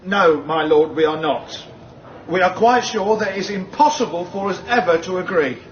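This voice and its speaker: A man's voice: high-pitched, moderate pace, dull audio, a little hiss or hum, natural voice. An actor playing a plain-spoken jury foreman in a radio drama, respectful but emphatic. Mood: firm, stubborn.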